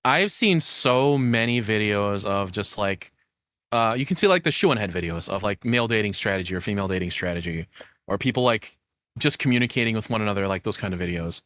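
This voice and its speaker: A man's voice: fairly low pitch, lively intonation, a little fast, fairly bright tone, dry recording, clean studio sound. A man, probably in his thirties, streaming casual reaction commentary in a candid, self-deprecating, rambling way.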